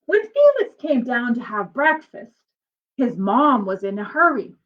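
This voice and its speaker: A woman's voice: fairly high pitch, very animated, a little slow, dull audio. A woman reading a picture book aloud like a teacher, expressively and with simple explanatory asides for young children.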